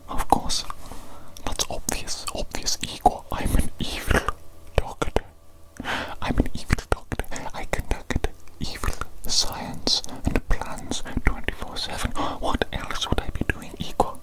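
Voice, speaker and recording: A woman's voice: unhurried, close to an everyday voice, low-quality recording. Woman playing a whispering, pompous evil doctor. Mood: exasperated, irritated. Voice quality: breathy unvoiced whisper. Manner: pompous, fussy, repeats phrases emphatically. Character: pompous, exasperated evil doctor, self-proclaimed mad scientist genius. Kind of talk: whispered ASMR roleplay.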